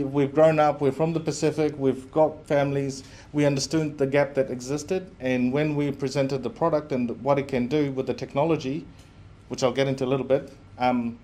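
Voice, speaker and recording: A man's voice: medium pitch, warm tone. A middle-aged man from Fiji and New Zealand gives an informal, candid presentation as a business founder.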